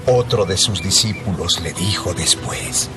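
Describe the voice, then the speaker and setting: A man narrating a dramatized Bible story as scripted narration, in a measured storytelling manner. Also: low voice, over music.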